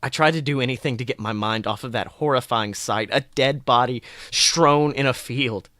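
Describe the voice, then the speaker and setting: Man, bright forceful voice, dramatic story narration, close dry sound. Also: medium pitch, moderate pace, no room sound. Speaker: podcast host reading fan fiction.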